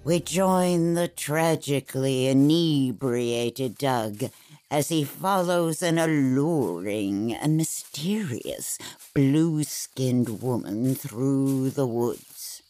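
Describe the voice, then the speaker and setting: A woman playing a sassy old hag who narrates a folksy audio drama and likes to bicker, with a drawn-out delivery. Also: a bass voice, mellow, exaggerated voice. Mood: storytelling. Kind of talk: folksy theatrical storytelling narration.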